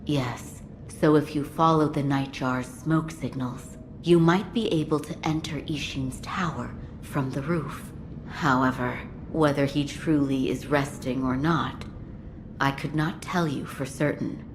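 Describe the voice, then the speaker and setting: An actress playing a calm ally who gives measured advice in a game cutscene. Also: female voice, deep voice, noisy background. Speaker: ally giving directions. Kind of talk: video game cutscene acting.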